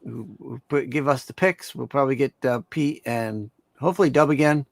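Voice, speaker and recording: A man chatting casually on a wrestling fan panel in a halting, easygoing way, often repeating himself. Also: medium pitch, gravelly, no echo. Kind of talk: casual chat.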